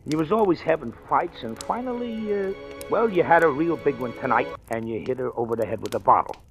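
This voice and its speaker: An actor playing a smug, menacing gangster boss with slangy, mock-friendly wheedling in an old radio crime drama. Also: male voice, a little high, lively intonation, a little fast. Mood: smug, coaxing. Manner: wheedling, slangy tough-guy talk. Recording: old radio recording, faint music.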